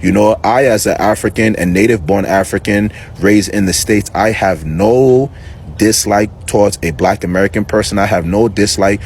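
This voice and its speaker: Low-voiced man pleading earnestly. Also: normal speed, a little mellow, slight background noise. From born in Liberia, raised in New York City. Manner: pleading, repeats himself, many fillers. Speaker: reaction video host. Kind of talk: earnest commentary to camera.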